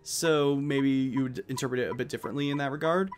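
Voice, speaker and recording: A man's voice: mid-range voice, fast, a little hiss or hum. A man hosting a casual video game playthrough in a rambling, chatty way. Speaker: Let's Play host. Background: video game music.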